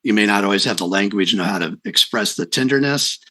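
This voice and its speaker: Low-pitched, bright male host. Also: fairly quick. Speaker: interview host. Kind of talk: conversational explaining of personality types.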